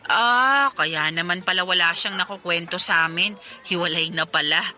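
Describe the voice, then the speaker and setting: A woman's voice: on the low side, moderate pace, sharp tone, soft background music. An actress in her twenties playing a polite, curious young housemaid from the province in a radio drama. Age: 20s. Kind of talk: Tagalog radio drama acting.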